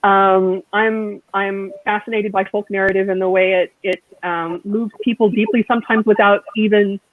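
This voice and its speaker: A woman speaking as a scholarly author on a panel, with earnest, academic and deliberate phrasing. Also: medium pitch, steady tone, no echo, narrow, tinny audio. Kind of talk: thoughtful panel discussion.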